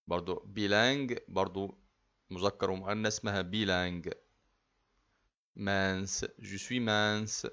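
A man with an Egyptian Arabic accent teaching French, repeating each phrase slowly and then explaining it quickly. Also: fairly low pitch, a bit bright, muffled recording. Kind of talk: slow repetitive language drill.

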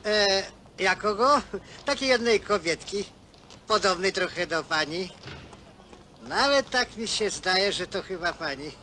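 An actor playing a teasing working man who speaks colloquially, in a drawn-out, playful way in a film drama. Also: sits high, lively intonation, bright, forceful tone, a little hiss or hum, full character voice. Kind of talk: naturalistic film dialogue.

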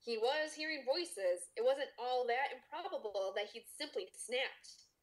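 A woman's voice: fairly high pitch, poor audio. A woman podcast host reading a story aloud in a dramatic, playful way, with casual comic asides.

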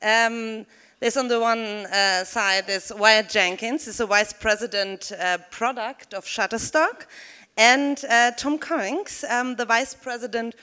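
Bright, smooth woman announcing in a hall. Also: a little high, steady tone. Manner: halting, many fillers. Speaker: event host introducing the session. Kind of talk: formal stage introduction. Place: conference hall. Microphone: handheld microphone. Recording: long hall reverb.

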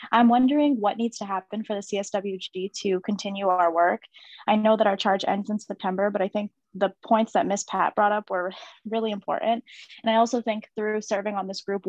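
A woman's voice: medium pitch, dry recording, poor audio. A woman asking a question in a video call meeting, speaking in an earnest, articulate way. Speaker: working group member.

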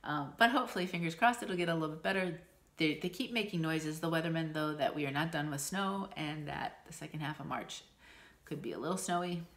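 Woman, 40s, low voice, stuffy nose, rambling home vlog chat. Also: brisk, a bit bright. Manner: chatty, rambling, frequent ums and laughs. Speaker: stitching vlogger. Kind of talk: casual chat to camera. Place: small room at home, indoors. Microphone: camera in front at desk distance.

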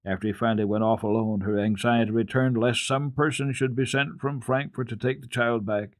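A man's voice: mid-range voice, fairly even intonation, a little fast, no echo. A man narrating a children's book as a dramatic radio reading.

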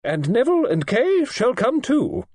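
A man's voice: high voice, wildly swooping pitch, measured pace, darkish tone, breathy edge, dry recording, voice pushed up, full character voice. A man reading an audiobook, voicing a robust, imperious elderly aristocratic woman who talks in a forthright, snappish way. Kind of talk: audiobook character voice.

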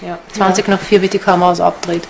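Low, creaky Austrian woman. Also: level tone, fast talker, a crowd in the background, poor audio. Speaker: ghost hunter. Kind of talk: spontaneous documentary interview.

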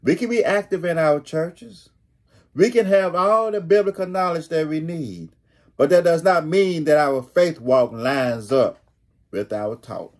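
A middle-aged man preaching a sermon as a pastor, deliberately repeating key phrases for emphasis. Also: a little high, a little mellow. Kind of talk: sermon, read from notes. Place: small home room, indoors.

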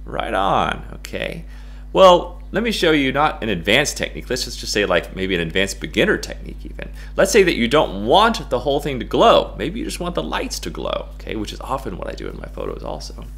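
A middle-aged American man giving a casual, playful software tutorial in a goofy, jokey way. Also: medium pitch, huge pitch swings, a little fast, creaky, slightly rough. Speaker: photo-editing tutorial presenter.

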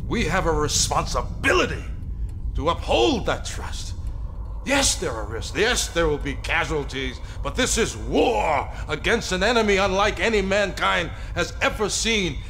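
A zealous wartime director in a game cutscene. His voice is fairly high and swings dramatically through an insistent, righteous appeal, with faint noise in the background. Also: a bit bright. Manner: insistent, rhetorical, intense.

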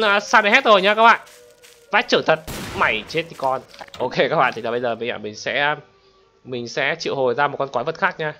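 A man giving excitable gameplay commentary as a channel host, often exclaiming and addressing his viewers. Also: sits high, a little hiss or hum.

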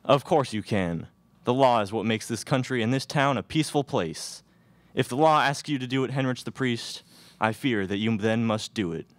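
A man's voice: medium pitch, moderate pace, no echo, natural voice. A young man playing an officious Wild West judge in a student radio play, declaiming into a stage microphone. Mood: stern, official. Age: young adult. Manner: declamatory, calling for order. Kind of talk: student radio theatre acting. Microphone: stage microphone on stand.

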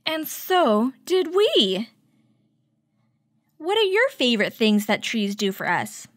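A woman hosting a children's story time, reading a picture book aloud and teaching with clear, instructive delivery. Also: a little high, very animated, takes it slowly, no echo. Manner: clear, instructive, addressing young children with questions.

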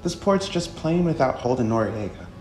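A man voicing a friendly, plainspoken delivery woman in a visual novel, chatty and expressive. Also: mid-range voice, normal speed, close to an everyday voice. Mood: friendly, dismayed. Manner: expressive, animated. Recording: echoey room under music.

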